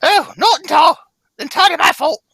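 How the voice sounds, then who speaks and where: A woman's voice: very high voice, brisk, vocal fry, fairly bright tone, dry recording, heavily acted. A woman playing a flustered, apologetic lady in an old-time radio drama, polite, hesitant and fluttery. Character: flustered, apologetic, high-voiced troubled lady.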